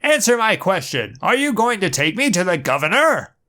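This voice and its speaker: A man voicing a calm, forceful male superhero in a comic dub, speaking in a firm, measured, commanding way. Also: sits high, voice pushed up, heavily acted. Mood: determined. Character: stern heroic male strongman, calm and forceful.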